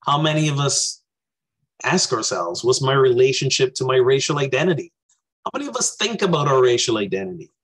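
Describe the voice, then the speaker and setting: Husky-voiced man in his forties, from Barbados. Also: mid-range voice, sing-song, a little fast, low-quality recording. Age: 40s. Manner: earnest, reflective, gestures with his hands. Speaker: minister. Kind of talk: spoken talk to a congregation over video call. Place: small room indoors. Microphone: webcam on a video call.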